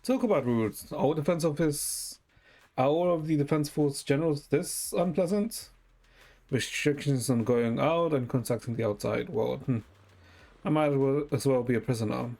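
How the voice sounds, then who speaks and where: A man's voice: mid-range voice, moderate pace, creaky, mellow. A man giving casual gameplay commentary and reading story dialogue aloud, with musing, muttered asides. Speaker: game streamer. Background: video game music.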